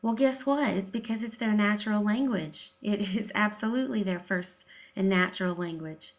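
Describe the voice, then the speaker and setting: A woman's voice: medium pitch, normal speed. Female commentator, mid-range voice. Emphatic and informal, with pointed sarcasm and rhetorical questions. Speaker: video commentator, deaf-education advocate.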